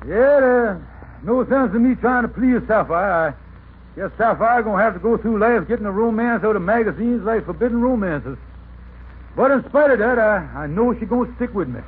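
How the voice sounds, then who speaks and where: A man's voice: high-pitched, lively intonation, normal speed, husky. A man playing an aggrieved, scheming husband in radio comedy, blustering in folksy nonstandard grammar. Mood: rueful. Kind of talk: 1950s radio comedy acting. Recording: scratchy, narrow-band old radio recording.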